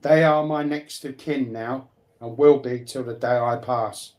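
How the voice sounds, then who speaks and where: A man's voice: medium pitch, fairly even intonation, unhurried, darkish tone. A man talking personally and bluntly, repeating phrases to drive his points home. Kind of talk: emphatic personal talk, partly confrontational.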